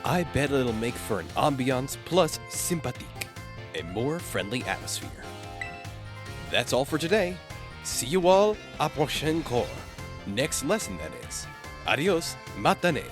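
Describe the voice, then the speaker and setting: Cartoon fan dub: male actor as upbeat, flourishing language teacher, very animated delivery over clear background music. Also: mid-range voice, measured pace. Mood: upbeat, instructive. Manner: theatrical, lecturing, multilingual flourishes. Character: cheerful male language teacher peppering speech with foreign phrases.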